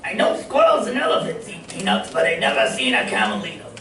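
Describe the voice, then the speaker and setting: Gruff, chuckling cartoon sailor, pitch leaping about, very echoey stage. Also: male voice, huge pitch swings, fast, sharp tone, exaggerated voice. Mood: jolly, kindly. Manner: chuckling, folksy, ungrammatical sailor talk. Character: gruff, good-hearted cartoon sailor man with mangled grammar. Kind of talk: old radio comedy acting. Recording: roomy stage recording, far mic, faint noise.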